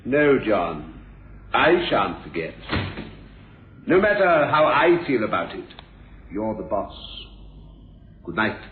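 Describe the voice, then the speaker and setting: An actor playing a dignified, dutiful older employee in a radio mystery drama, formal, precise and deferential. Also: male voice, lowish voice, big pitch swings, slow pace, pauses often, slight background noise. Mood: resigned. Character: dignified, dutiful old-timer employee, quietly resentful. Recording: thin, phone-like old radio recording.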